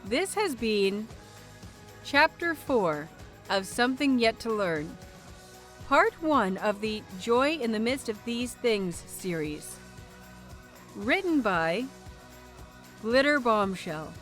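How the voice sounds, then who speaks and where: A woman's voice: fairly high pitch, fairly bright tone. A woman reading a scripted story aloud as the narrator of an audio fiction series.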